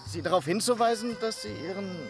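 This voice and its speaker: A man's voice: sits high, quick pace. An actor in a radio drama playing a meek, dutiful village policeman who pleads hesitantly and deferentially. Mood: pleading, flustered. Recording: music behind the voice.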